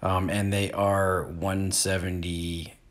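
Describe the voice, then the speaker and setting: A man in his forties giving a relaxed, plain-spoken disc golf lesson outdoors in an open field, recorded on a nearby camera. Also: low voice, slow pace. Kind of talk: outdoor instructional talk.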